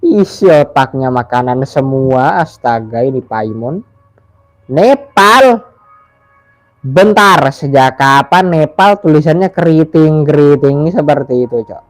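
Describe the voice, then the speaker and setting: A man's voice: fairly high pitch, lively intonation, a little slow, faint music behind. A man with an Indonesian accent giving casual, joking game commentary, slangy and sweary. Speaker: gaming streamer.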